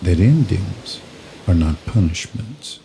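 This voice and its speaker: A man narrating a scripted guided meditation in a soothing, measured manner, leaving pauses between short phrases. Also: low voice, animated, creaky, warm tone, slight background noise.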